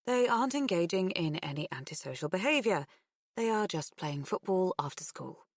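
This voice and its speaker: A woman voicing a patient, matter-of-fact female police officer in an audiobook, official and brisk. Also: lowish voice, lively intonation, no echo, clean studio sound, voice pushed up. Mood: firm.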